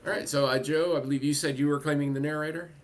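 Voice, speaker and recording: A man's voice: a little high, steady tone, fast talker. A man hosting a fan panel in a casual, conversational style. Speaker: panel host. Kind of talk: casual panel talk and introduction.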